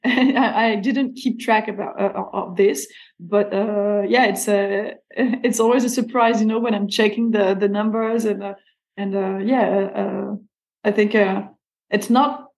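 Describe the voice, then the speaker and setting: A woman's voice: mid-range voice, steady tone, a little slow, creaky, darkish tone. Hesitant French-accented woman. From France. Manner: hesitant, many fillers and restarts, laughs often. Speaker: guest musician. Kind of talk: casual interview chat.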